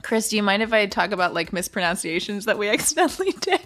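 A woman's voice: medium pitch, very animated, fast talker, fairly bright tone, soft background music. A woman chatting casually as a podcast guest about her creative work, earnest and enthusiastic. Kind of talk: casual interview chat.

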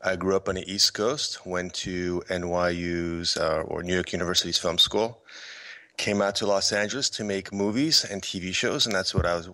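A man's voice: deep voice, sharp tone. A middle-aged man from Poland, raised on the American East Coast, speaking as a podcast guest in a loose, rambling way with lots of fillers and restarts. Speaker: podcast guest, filmmaker and photographer. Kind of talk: relaxed conversational interview.

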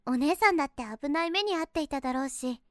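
A woman's voice: sits high, fast talker, no room sound, clean studio sound, natural voice. An actress playing a sweet, polite and innocent young girl in an audio drama, speaking earnestly and hesitantly, easily flustered. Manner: earnest, deferential, hesitant speech. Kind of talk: Japanese voice drama acting.

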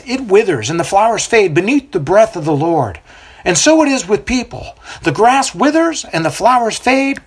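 A storyteller voicing a fiery male desert prophet with a booming, coarse voice who preaches loudly to a crowd. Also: slightly rough.

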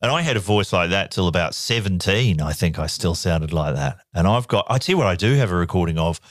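A man co-hosting a podcast in casual chat, telling animated stories. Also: fairly quick, no echo, clean studio sound. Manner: animated storyteller, jumps up to a boyish high voice for quotes. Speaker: podcast co-host.

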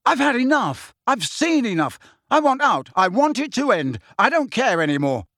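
A man acts out a teenage boy wizard in an audiobook, anguished and quick to anger. The voice is pitched high and strained, swinging widely as it pushes out each phrase. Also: very animated, slightly rough, no room sound, studio quality. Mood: screaming rage. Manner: loud, strained, shaking with anger. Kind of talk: audiobook character voice.

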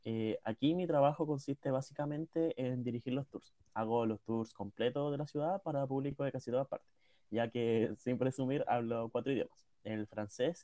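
A man's voice: mid-range voice, dark tone, no room sound, dull audio, lightly acted. A young man playing a male tour guide who shows off his languages, hesitating with many filler sounds in a role-played interview. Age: 20s. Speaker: mock interviewee.

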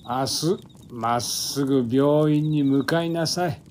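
A man's voice: on the high side, slow, a little mellow, a little hiss or hum. An actor playing a blunt, fatherly old man in an audio drama, admonishing in old-fashioned phrasing. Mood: gently blunt.

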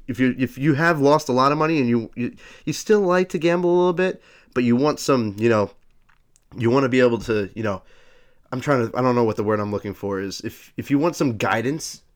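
A congested man talks his way through a casual sports chat, stuffy in the nose. He rambles, trips over restarts and leans on fillers, at an everyday middle pitch. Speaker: podcast co-host.

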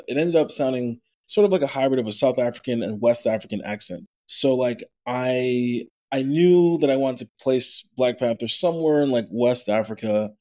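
A man, an actor, talking in a casual interview, animated and full of restarts, with a somewhat nasal voice. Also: medium pitch. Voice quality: nasal natural voice. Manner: animated storyteller, many fillers and restarts. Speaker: actor and voice actor, podcast guest.

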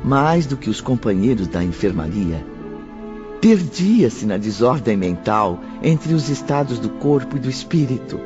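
A man's voice: mid-range voice, very animated, a little slow. A man narrating a radio drama from a script in a storytelling role. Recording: muffled band-limited audio with music behind.

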